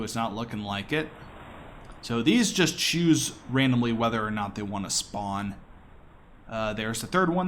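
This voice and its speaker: A man's voice: mid-range voice, fairly bright tone, slight background noise. A man giving casual, explanatory live commentary as he speedruns a video game.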